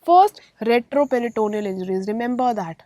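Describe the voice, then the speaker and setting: A woman's voice: a little high, a little fast, warm tone, no echo. A woman with an Indian accent giving a medical lecture in an emphatic teaching manner, repeating key terms and checking that students follow. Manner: didactic, emphatic repetition, frequent check-in questions. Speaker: radiology lecturer. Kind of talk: medical exam-prep lecture.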